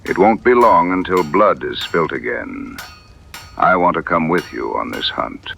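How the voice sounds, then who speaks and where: A man playing a proud, solemn warrior and hunter in an audio drama, speaking formally and deliberately in a gravelly voice. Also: extremely low pitch, animated, bright, forceful tone, a little hiss or hum, exaggerated voice. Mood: solemn, earnest.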